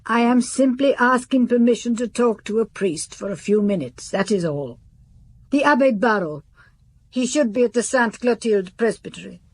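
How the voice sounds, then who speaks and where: Guarded, devout housekeeper, higher voice. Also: female voice, animated, breathy edge. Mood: guarded, stubborn. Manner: guarded, formal, halting. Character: devout, guarded spinster housekeeper to a French count. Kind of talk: radio drama acting.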